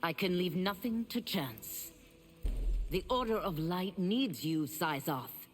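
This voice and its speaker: A woman's voice: fairly low pitch, expressive, slow, in a large hall, soft background music. A woman voicing a solemn, determined warrior seeking redemption in a video game. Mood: solemn, resolute. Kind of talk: video game character dialogue.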